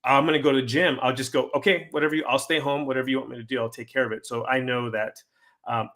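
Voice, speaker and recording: A man hosting an interview, talking casually in a rambling, halting way with many restarts. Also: mid-range voice, fairly even intonation, fairly bright tone. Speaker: podcast host.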